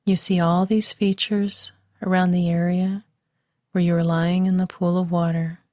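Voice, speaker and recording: A woman guiding a relaxation meditation, speaking softly and soothingly, recorded dry and close as a voice-over. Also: lowish voice, level tone, dry recording. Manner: slow, soft and soothing. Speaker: meditation guide.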